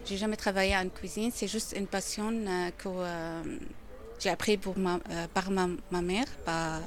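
A middle-aged woman from Syria, a native Arabic speaker with a Syrian accent, giving a personal account in an interview and haltingly searching for words. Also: mid-range voice, level tone. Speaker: interviewee, refugee who cooks.

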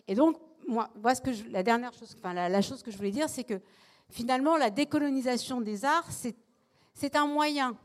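A woman theatre director speaking on a panel discussion, earnest, often restarting her phrases. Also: sits high. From not from Marseille, lives there.

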